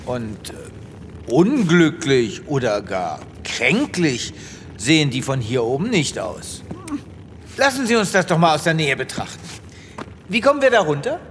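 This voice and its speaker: An actor playing a vain, upbeat space veterinarian in an audio drama, theatrical and full of wonder. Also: male voice, on the high side, big pitch swings, unhurried, slight background noise. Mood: breezy, reasoning. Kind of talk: German audio drama acting. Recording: long reverb, faint vehicle-like ambience.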